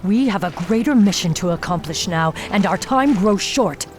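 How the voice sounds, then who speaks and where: A woman's voice: mid-range voice, lively intonation, moderate pace, soft background music, close to an everyday voice. A woman playing a hard, driven night elf warden in a video game, stern and clipped, with a commanding, impatient manner. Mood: cold, commanding. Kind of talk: dramatic video game voice acting.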